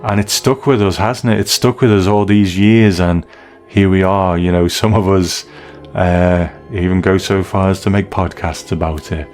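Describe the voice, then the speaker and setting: A man's voice: low voice, steady tone, moderate pace, a little mellow, soft background music. A middle-aged British man talking in a chatty, conversational way as a podcast host, casually going through listener feedback. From United Kingdom.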